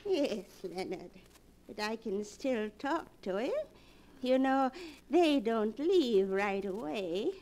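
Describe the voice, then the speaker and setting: A bitter old aunt who speaks with the dead, with a cold, hushed, ominous delivery. Her voice sits a little high. It has the dated sound of a 1940s radio broadcast. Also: lively intonation, takes it slowly.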